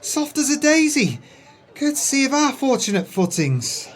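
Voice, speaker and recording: A man's voice: extremely high pitch, moderate pace. An actor playing a florid, ingratiating swindler in an audio drama, telling his tale with theatrical relish. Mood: gleeful, boastful. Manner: florid, animated storytelling. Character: smooth-talking male swindler, florid and ingratiating.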